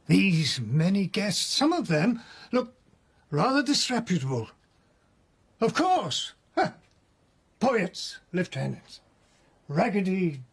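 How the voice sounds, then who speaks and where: An actor playing a deluded imprisoned nobleman of old Japan in a radio drama, theatrical and bitterly wistful, with drawn-out phrases and hushed whispers. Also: high voice, breathy edge, full character voice.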